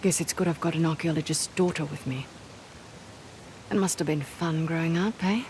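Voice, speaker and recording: A woman's voice: low voice, steady tone, normal speed, darkish tone, slight background noise, natural voice. A woman playing a pragmatic, tough mercenary in a video game, with a dry, matter-of-fact manner. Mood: dry, guarded. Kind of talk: video game cutscene acting.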